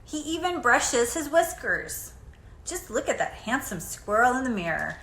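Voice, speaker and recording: Casting: female children's storybook reader, lively intonation and expressive, deliberate delivery, recorded in an echoey room. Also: fairly high pitch. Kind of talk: children's picture book read-aloud. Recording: echoey room, faint background noise.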